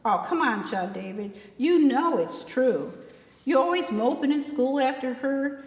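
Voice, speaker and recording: A woman voicing a teasing, excitable teenage boy who speaks in folksy rural dialect, in a novel reading. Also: high-pitched, unhurried, voice pushed up. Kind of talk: acted reading of a historical novel. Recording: echoey room with long reverb.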